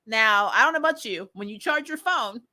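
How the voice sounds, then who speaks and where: Bright-voiced woman, casual and chatty. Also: on the high side, brisk. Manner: animated, chatty, slangy, blunt. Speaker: host of a TV recap show. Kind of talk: casual, opinionated commentary.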